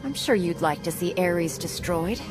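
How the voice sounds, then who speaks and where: A woman's voice: fairly low pitch, animated, normal speed, natural voice. A woman playing a defiant, sardonic heroic commander in a video game. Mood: firm. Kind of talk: video game cutscene acting. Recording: loud game music over voice.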